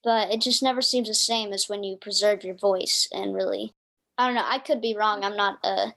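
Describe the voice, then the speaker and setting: A woman's voice: medium pitch, steady tone, moderate pace, gravelly, fairly bright tone. A woman interviewing a singer in a casual conversation, asking questions and giving frequent short affirmations. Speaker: interviewer, musician.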